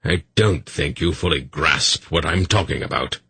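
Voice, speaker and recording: A man voicing a testy, stern old sorcerer in an audiobook, speaking with quiet menace. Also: sits low, animated, unhurried, gravelly, slightly rough, dry recording, exaggerated voice. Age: older.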